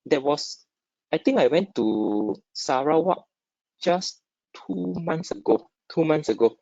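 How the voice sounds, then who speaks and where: A man's voice: fairly high pitch, animated, slow pace, gravelly, warm tone, dry recording. A man from Singapore with a Singaporean accent presents an informal, interactive webinar, often checking in with short tag questions. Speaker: webinar speaker, stock dealer. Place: dry close online webinar. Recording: dull lo-fi online call audio.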